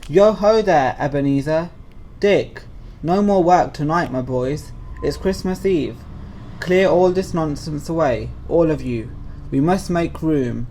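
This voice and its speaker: A hearty old master played by a man in an audio play, calling out merrily. His voice sits a little high, with faint noise behind it. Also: a little slow, dark tone. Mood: jolly, hearty. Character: jolly, hearty old warehouse master throwing a party.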